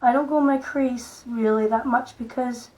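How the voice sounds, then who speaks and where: A middle-aged woman with a croaky voice, gently talking through a makeup tutorial in a soft, unhurried way. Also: fairly high pitch, steady tone, poor audio. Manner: soft-spoken, unhurried, stops and restarts gently. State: hoarse, croaky voice. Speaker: makeup vlogger. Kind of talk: casual makeup tutorial talk.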